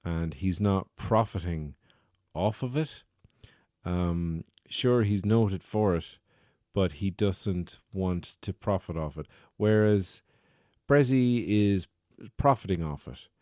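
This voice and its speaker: Deep-voiced wry podcast host. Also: male voice, slow pace, dark tone, no echo, studio quality. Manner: wry, digressive, self-mocking. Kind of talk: rambling solo comedy podcast monologue.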